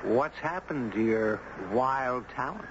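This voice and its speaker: A man playing a gentle, earnest character in a 1970s radio drama, speaking in a measured, literate way. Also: medium pitch, animated, background noise. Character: gentle, earnest man drawn into a tragic tale. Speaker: radio drama actor and narrator. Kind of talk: 1970s radio drama acting, first-person narration. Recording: muffled 1970s radio broadcast, music behind.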